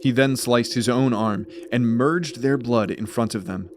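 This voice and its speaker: A man's voice: mid-range voice, measured pace, noisy background. A man narrating a fantasy audio drama as a scripted, dramatic story.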